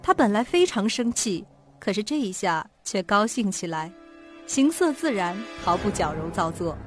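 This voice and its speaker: A woman's voice: mid-range voice, very animated, normal speed, natural voice. A woman reading scripted dramatic narration for a suspense radio play. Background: music behind the voice.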